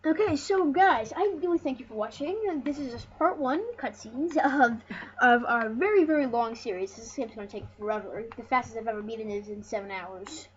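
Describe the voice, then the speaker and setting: An excitable, rambling host giving casual, jokey commentary over a video game. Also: medium pitch, moderate pace. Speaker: host of a gaming channel. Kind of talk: casual let's play commentary. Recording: dull lo-fi mic with loud noise.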